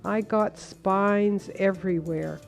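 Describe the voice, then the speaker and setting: A woman reading a translated first-person account as a measured, earnest voice-over. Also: medium pitch, steady tone, creaky, faint music behind.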